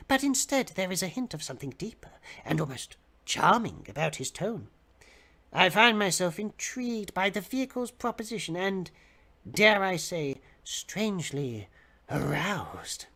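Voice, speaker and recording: A man giving a theatrical, expressive dramatic reading of fiction as the narrator. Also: high voice, a little slow. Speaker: dramatic reader.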